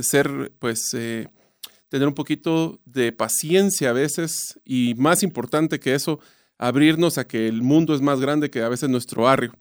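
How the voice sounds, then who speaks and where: A man's voice: medium pitch, smooth, dry recording, studio quality. A man with a Guatemalan Spanish accent, chatting casually as a co-host in a radio booth. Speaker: radio co-host, executive director. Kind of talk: casual self-introduction on radio.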